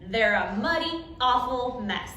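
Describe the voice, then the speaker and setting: A woman voicing a grumpy, excitable old man for a storybook, with emphatic, drawn-out exclamations. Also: extremely high pitch, heavily acted. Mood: grumpy disgust. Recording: echoey room, distant mic, light noise.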